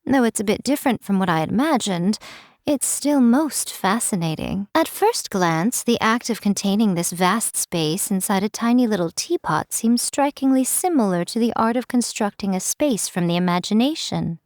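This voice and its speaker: A woman's voice: mid-range voice, animated, normal speed, darkish tone, no room sound, clean studio sound, lightly acted. A woman voicing a sly, teasing fox-spirit shrine priestess in a video game, speaking in a languid, amused way. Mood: playful. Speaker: game character voice.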